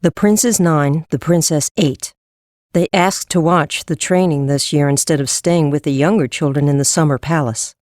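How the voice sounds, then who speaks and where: An actress playing a brisk, wry horse trainer in an audiobook, matter-of-fact in her delivery. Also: low-pitched, a little mellow, no echo, clean studio sound, natural voice. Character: brisk, wry woman horse trainer, seasoned and dry-humored. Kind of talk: full-cast audiobook character acting.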